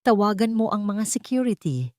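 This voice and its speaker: A woman's voice: medium pitch, sing-song, moderate pace, darkish tone, no room sound, clean studio sound, pitched above the performer's own voice, natural voice. A woman narrator voicing a wronged, wealthy businesswoman in an audio drama, dignified, composed and commanding. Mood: firm, commanding.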